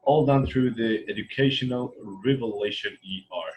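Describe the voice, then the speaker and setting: A man's voice: mid-range voice, moderate pace, a little hiss or hum, low-quality recording. A man who is a former student, introducing the program and asking questions with frequent hesitations. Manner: hesitant, many "um"s.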